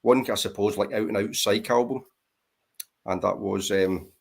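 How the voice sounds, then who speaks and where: A man's voice: lowish voice, fairly even intonation, moderate pace, creaky, slightly rough. A man with a Scottish accent, chatting casually and self-deprecatingly as a guest on a livestream. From Scotland. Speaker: guest on panel. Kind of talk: casual chat.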